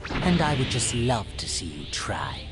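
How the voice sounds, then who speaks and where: A man playing a sadistic, arrogant alien tyrant in an anime game, creaky, pompous and taunting with mock politeness. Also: mid-range voice, wildly swooping pitch, a little slow. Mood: smug taunting. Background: loud music.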